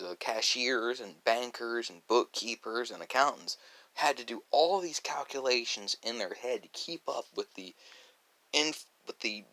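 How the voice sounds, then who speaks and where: A man chatting casually in an ASMR video, rambling and halting, with frequent asides. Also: mid-range voice, sharp tone, low-quality recording. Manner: rambling, halting, with asides and repeated "you know".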